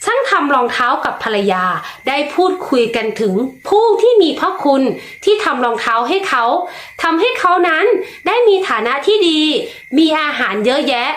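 A woman reads a children's fairy tale in a high, bright register, her pitch rising and falling in a lilting storyteller's way. The reading is unhurried and expressive. The room around her adds a noticeable echo.

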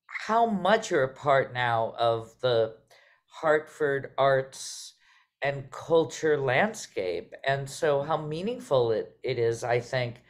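A woman's voice: rumbling low, expressive, takes it slowly. Woman, middle-aged, casual host, self-interrupting, conversational, video call. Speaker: host, theatre artistic director. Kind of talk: casual hosted panel conversation. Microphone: video call microphone.

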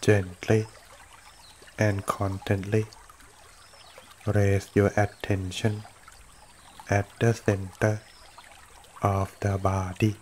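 A man with a lowish voice guides a meditation at an extremely slow pace. His delivery is almost completely flat and gentle, in short phrases separated by long pauses. Water trickles softly behind him. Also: slight background noise. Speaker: meditation teacher. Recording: running water throughout. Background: trickling stream water.

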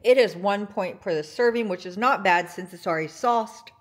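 A young woman talks through her grocery haul from her kitchen counter, chatty and upbeat. Her voice sits at a middle pitch and keeps an easy, conversational flow, with plenty of filler words along the way. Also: normal speed, background noise. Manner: chatty, enthusiastic, lots of fillers like "like" and "um". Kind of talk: casual grocery haul narration.

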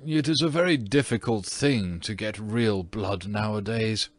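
A man's voice: lowish voice, unhurried, dry recording, natural voice. A man voicing a petulant, haughty old aristocratic English ghost in a storybook, speaking in a pompous, theatrical way. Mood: meek, defensive. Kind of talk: storybook character reading.

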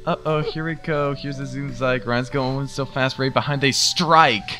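A man's voice: mid-range voice, steady tone, measured pace, music in the background. A man casually bantering during a game race, goofy and playful, often repeating his words. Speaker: gaming video co-host.

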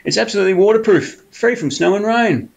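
A cunning storybook fox, read aloud by a man, pours on the flattery in a wheedling sales patter. The voice sits a little higher than the reader's own and keeps a gravelly edge. He sounds eager to close the deal. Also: fast talker, full character voice. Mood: coaxing. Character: sneaky, cunning male fox, smooth-talking persuader.

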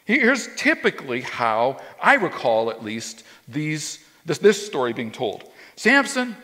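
Man, 50s, pastor on a church stage, conversational sermon delivery with restarts, slightly high voice, long hall reverb. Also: measured pace. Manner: conversational, animated, many restarts and repeated words. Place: church stage with a drum kit behind, indoors.

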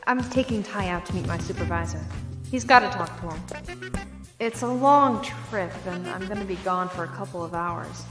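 A woman playing a friendly, slightly flustered young university teacher in an audio drama, expressive and informal. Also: lowish voice, normal speed, close to an everyday voice. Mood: friendly, eager. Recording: echoey hall reverb, music behind.

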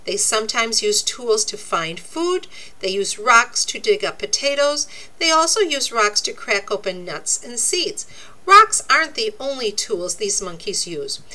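A woman reading a children's nonfiction book aloud, with deliberate, expressive, teacherly phrasing. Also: fairly high pitch. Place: indoors, book laid open before a camera.

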